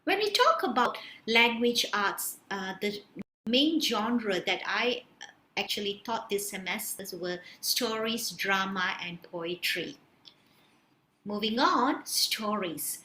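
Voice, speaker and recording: A woman's voice: medium pitch, pushed and bright. A woman with a Malaysian accent giving a prepared academic presentation as a lecturer. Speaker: senior lecturer in English, conference presenter. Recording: roomy echo, faint background hiss.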